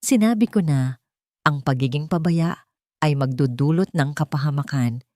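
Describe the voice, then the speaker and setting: A woman storyteller acts out a stern older matriarch in a dramatic story. She is haughty, formal and controlling. Her low voice is recorded dry. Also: moderate pace, mellow, no room sound, close to an everyday voice. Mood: angry, accusing. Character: stern, cold, aristocratic older grandmother, controlling and reproachful.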